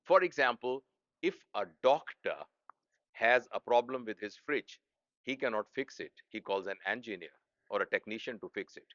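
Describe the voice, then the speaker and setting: A man with a Pakistani accent, a native Urdu speaker, explains things simply as a professor giving a lecture, haltingly rephrasing as he goes. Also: mid-range voice, a bit bright, dry recording, dull audio. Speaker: medical professor presenting an educational channel.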